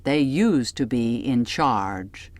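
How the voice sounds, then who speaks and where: A woman's voice: a bass voice, lively intonation, slow pace, a little mellow, no echo. A woman reading a literary first-person story as scripted audiobook narration.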